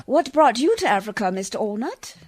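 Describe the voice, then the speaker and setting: A woman's voice: fairly high pitch, very animated, fairly quick, a little mellow. A woman acting in a radio play as a prim, determined missionary lady, speaking in a formal, proper and resolute manner. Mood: polite, wistful.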